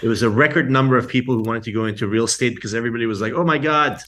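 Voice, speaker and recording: A middle-aged man from Iran and the United States, telling anecdotes casually as an interview guest, often pausing to find words. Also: mid-range voice, a bit bright.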